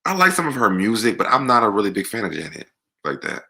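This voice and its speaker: An American man with a deep voice hosting a casual music Q&A, talking conversationally and repeating words for emphasis. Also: very animated, fast, creaky, sharp tone. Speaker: online show host. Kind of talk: casual live Q&A chat about music.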